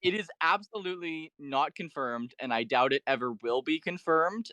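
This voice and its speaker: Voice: mid-range voice, normal speed, pushed and bright, no echo. A podcast guest talking through fan theories in an enthusiastic, explanatory way and in detail. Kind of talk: enthusiastic fan lore discussion.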